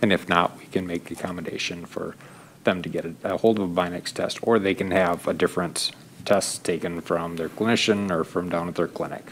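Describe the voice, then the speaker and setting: A man's voice: low-pitched, fairly even intonation, brisk, slight background noise. A man who is a school administrator, explaining testing policy to a board in a public meeting. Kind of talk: answering board questions.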